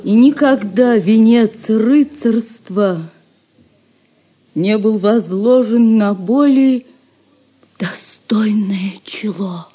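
A gracious highborn lady in a medieval audio drama. She speaks slowly and ceremonially at a medium pitch. Faint ambient noise sits behind her. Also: mellow. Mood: reverent. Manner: solemn, ceremonial. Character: noble, gracious medieval lady crowning a champion.

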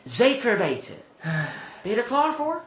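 A man's voice: very high-pitched, huge pitch swings, a little slow, heavily acted. An actor playing a coaxing, reassuring male friend in a radio play. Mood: soothing. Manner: coaxing, encouraging. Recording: roomy echo, people in background.

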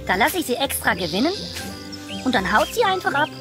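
A woman's voice: sits high, big pitch swings, a little fast, over music. A woman voicing a clumsy, proud, lovesick young boy mouse who mutters to himself in a children's audio play. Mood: sulky.

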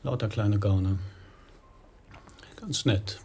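Low-voiced, candid Danish painter. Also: male voice, slow pace, mellow, slight background noise. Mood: blunt, insinuating. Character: candid Danish painter living simply in Tunisia, frank, easygoing. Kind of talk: German radio play acting, intimate dialogue.

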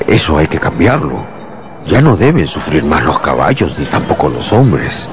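A man's voice: a bass voice, very animated, normal speed, background noise, full character voice. An actor playing a manipulative family man in a radio drama, soothing and persuasive as he coaxes. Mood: coaxing. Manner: coaxing, persuasive. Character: manipulative husband and uncle, soothing, secretly scheming. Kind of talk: Spanish-language radio drama acting.

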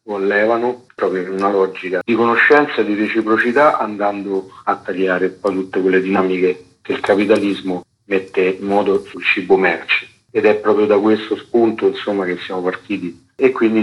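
Man, low pitch, activist interviewee, spontaneous explaining, slightly muffled lo-fi radio recording. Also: level tone, fairly quick, hardly any pauses. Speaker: interviewed activist and event organiser. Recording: dull lo-fi radio sound.

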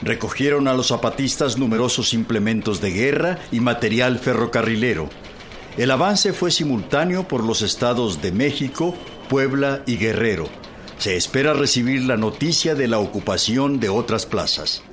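A man's voice: mid-range voice, moderate pace. A man with a Mexican accent formally reading old newspaper reports in a radio docudrama. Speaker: newspaper reader. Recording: clear background noise.

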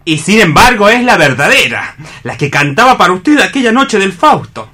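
Worldly persuasive mentor, accent from Spain, high bright voice, emphatic theatrical delivery, radio play. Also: male voice, sing-song, normal speed, exaggerated voice. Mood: teasing curiosity. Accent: Spanish (Spain). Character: eloquent newspaperman, worldly, persuasive male mentor.

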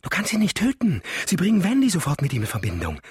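An actor playing a volatile, vengeful ex-convict criminal in a German radio crime drama, terse and demanding. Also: male voice, high voice, wildly swooping pitch, quick pace, a little mellow, slightly rough, exaggerated voice. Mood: brooding, intense. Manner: terse, demanding, talks to himself, erupting into rage. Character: volatile male ex-convict criminal on parole, seething with revenge. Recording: music behind the voice.

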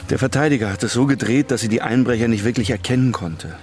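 A man acting a grim, embittered policeman in a radio drama, speaking in sombre, halting phrases. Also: mid-range voice, a little mellow, lightly acted. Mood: grieving, bitter. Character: grim, embittered policeman haunted by his sister's fate. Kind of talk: German radio drama acting, intimate confession.

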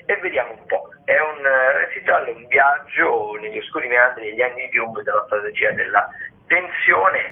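A man speaking as a theatre critic over a telephone, giving a casual, somewhat halting rundown of listings. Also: medium pitch. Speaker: theatre critic, regular contributor. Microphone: phone. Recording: narrow-band telephone line.

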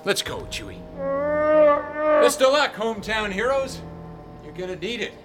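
An actor playing a cocky, cynical mercenary smuggler with a casual, sardonic manner in a radio drama. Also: male voice, sits high, animated, moderate pace. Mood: wry, mocking. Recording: radio drama under loud orchestral music.

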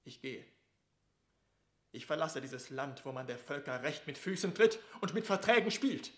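A male envoy at a royal court, in classical radio drama style. His voice sits rather high, and he speaks with ceremonious, touchy dignity. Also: expressive, normal speed, fairly bright tone. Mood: affronted, indignant. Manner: ceremonious, indignant, formal. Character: courtly, affronted male foreign ambassador.

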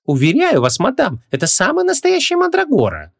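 Shopkeeper swindler: oily, wheedling, mock-offended, highly animated delivery, very dry storybook recording. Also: male voice, normal speed, vocal fry, no echo. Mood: indignant. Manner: oily, ingratiating, theatrically indignant. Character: sugary, wheedling male antique shop swindler.

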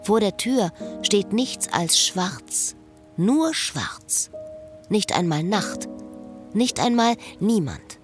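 A woman's voice: mid-range voice, big pitch swings, very slow. Restless, lovesick woman over music. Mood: dreamy, uneasy. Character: lovesick, restless woman narrating her own inner thoughts. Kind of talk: radio drama inner monologue and dialogue.

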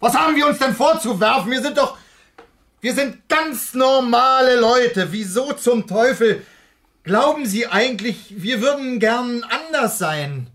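An actor playing a skeptical, irritable young husband in a German radio play, halting, blunt and defensive in his delivery. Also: extremely high pitch, expressive, a bit bright, heavily acted. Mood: indignant. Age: young adult.

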